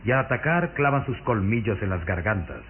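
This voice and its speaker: Suave sinister count, tinny radio sound. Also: male voice, fairly low pitch, animated. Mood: ominous, dismissive. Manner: suave, menacing, theatrical, measured. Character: sinister aristocratic count, commanding, hypnotic, coldly courteous. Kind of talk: radio drama acting. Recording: tinny lo-fi vintage radio recording with music.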